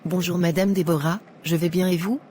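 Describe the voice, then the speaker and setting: A woman's voice: fairly low pitch, fairly even intonation, a little fast, mellow, a little hiss or hum, natural voice. A woman playing a polite, patient single woman in her thirties, courteous in manner, in a cartoon. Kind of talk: cartoon voice acting.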